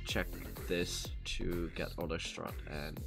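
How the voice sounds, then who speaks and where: Slow-talking Dutch man streaming a game. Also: low voice, level tone, creaky. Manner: rambling, many fillers and restarts. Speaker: gaming streamer. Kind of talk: casual live-stream chat while playing. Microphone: cheap USB microphone. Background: soft background music.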